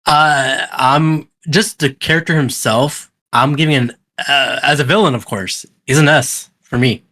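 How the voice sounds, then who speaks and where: A man with a medium-pitched voice hosts a podcast. He moves a casual group chat along in a conversational style. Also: unhurried, sharp tone. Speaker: podcast host.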